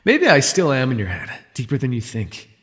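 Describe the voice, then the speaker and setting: A man playing a jaded, wry imprisoned telepath supervillain in an ASMR audio roleplay, speaking in a sardonic, weary, gravelly way with sighs. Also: medium pitch, huge pitch swings, fairly quick, fairly bright tone, long reverb tail. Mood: defensive, forceful.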